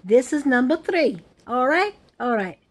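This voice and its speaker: A woman's voice: high voice, very animated, a little slow. An older woman with a put-on Italian-American accent, telling rambling family stories straight to her viewers. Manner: chatty, digressive, talks straight to viewers. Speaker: vlogger, family storyteller.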